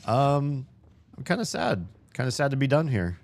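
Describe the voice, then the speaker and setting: A young man giving casual, profane running commentary while playing a video game, his voice slightly hoarse. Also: mid-range voice, sing-song, normal speed, a little mellow. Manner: chatty, swears a lot, talks to himself while playing.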